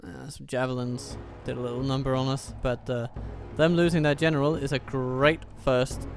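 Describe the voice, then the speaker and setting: Wry Danish-born gaming commentator. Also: male voice, mid-range voice, level tone, normal speed, faint music behind. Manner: chatty, wry, laughs at his own jokes. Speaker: let's play host. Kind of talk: casual gameplay commentary.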